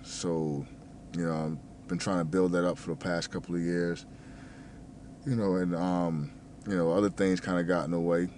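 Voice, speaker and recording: A man in his thirties from New Jersey, talking casually about his work and plans, with a deep voice that tends to mumble, stumbling over words, full of fillers and curse words. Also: little pitch movement, normal speed, a little mellow, slight background noise. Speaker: restaurant manager and fitness vlogger. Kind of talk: casual rambling monologue.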